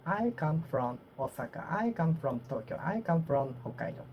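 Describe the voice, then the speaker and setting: A Japanese woman with a Japanese accent practicing English aloud to herself, halting and repeating phrases as she corrects them. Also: low voice, expressive, muffled recording, a little hiss or hum. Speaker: vlogger. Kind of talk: solo English speaking practice, talking to herself.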